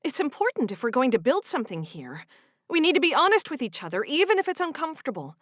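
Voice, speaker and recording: A woman's voice: sing-song, fast, no echo, studio quality. A woman playing a bold, defensive young woman. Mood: frank. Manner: pleading, high and emphatic.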